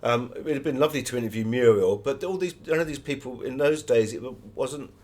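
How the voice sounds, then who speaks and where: A man's voice: medium pitch, fast, darkish tone. A man giving conversational interview answers as an author, full of anecdotes.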